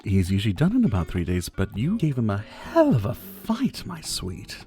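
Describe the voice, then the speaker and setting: A man's voice: on the low side, huge pitch swings, normal speed, warm tone, soft background music. A man playing a possessive, flirtatious and condescending rich dictator in an intimate ASMR roleplay, doting on the listener with pet names. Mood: smug, flirtatious. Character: possessive, teasing male yandere dictator, rich and menacing.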